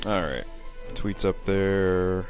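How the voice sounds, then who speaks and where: A man's voice: low voice, fairly even intonation, slow pace, soft background music. A man hosting a game stream with casual, sarcastic and irreverent chat. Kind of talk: casual chat over gameplay.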